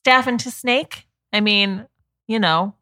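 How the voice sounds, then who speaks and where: A woman's voice: medium pitch, takes it slowly, bright, forceful tone. A woman co-hosting a casual chat, making wry, skeptical jokes. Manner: wry, skeptical joking remarks. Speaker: podcast co-host.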